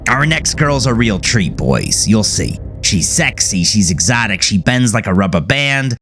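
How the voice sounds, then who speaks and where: A man's voice: lowish voice, very animated, unhurried, a bit bright, soft background music, pitched above the performer's own voice. A man voicing a crude, showy old strip club emcee working the microphone in a story. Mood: hyped, announcing. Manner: brash showman patter on a microphone.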